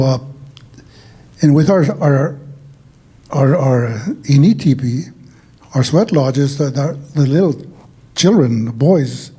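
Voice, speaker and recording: An older man whose first language is Dakota, from a Dakota nation in Saskatchewan, speaking as an elder on a conference panel in a halting way with many restarts and throat clearings. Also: medium pitch, warm tone. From Wahpeton Dakota Nation, Saskatchewan, Canada.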